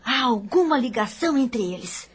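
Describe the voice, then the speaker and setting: High-voiced jealous young wife played by an actress. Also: female voice, lively intonation, moderate pace, breathy edge. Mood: jealous, troubled. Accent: Brazilian. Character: suspicious, jealous young wife, strong-willed and anxious. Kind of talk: radio soap opera acting.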